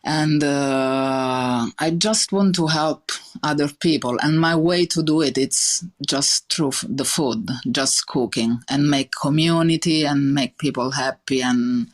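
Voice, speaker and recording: A woman in her thirties with a strong Italian accent, speaking earnestly in a podcast interview, with many fillers and restarts. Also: rumbling low. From Italy. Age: 30s. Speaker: chef guest.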